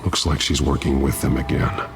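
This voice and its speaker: An actor playing a grim, gruff masked detective in a video game, speaking in clipped, analytical lines. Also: male voice, a bass voice, steady tone, moderate pace. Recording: game score under the voice.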